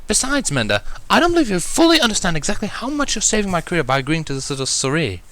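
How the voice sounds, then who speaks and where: Man putting on a fussy refined lady unicorn, high-pitched, swooping, genteel, theatrical, gushing storybook character. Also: huge pitch swings, a bit bright, exaggerated voice. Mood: earnest, overwrought. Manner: theatrical, genteel, drawn-out emphasis.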